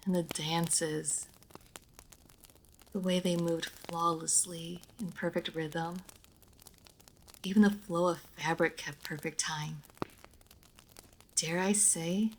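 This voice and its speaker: Lady acting as elegant reserved vampire noble, really slow n formal, lowish voice, some background noise, audio roleplay. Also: low-quality recording. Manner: formal, measured diction with hesitant pauses. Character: refined, reserved female vampire noble, dryly playful. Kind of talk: roleplay audio drama, intimate monologue to listener.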